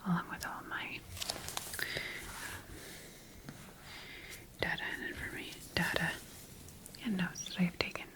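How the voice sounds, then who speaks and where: Female scientist played by a woman for ASMR roleplay: hushed whisper, lowish pitch, calm and procedural. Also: normal speed, lightly acted. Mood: calm, formal. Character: calm, clinical female scientist tending her bioengineered creation. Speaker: ASMR performer.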